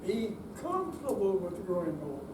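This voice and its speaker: A man's voice: high-pitched, very animated, normal speed. An older man reading inspirational text aloud on stage. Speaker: amateur readers theater performer. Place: senior center room, live reading. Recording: echoey room, distant mic, clear noise.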